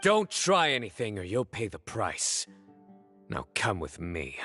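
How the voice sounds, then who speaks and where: An actor playing a stern, perceptive law enforcer in a video game, speaking in a deliberate, coolly intimidating way. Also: male voice, fairly low pitch, wildly swooping pitch, fairly bright tone, faint music behind, full character voice. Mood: menacing.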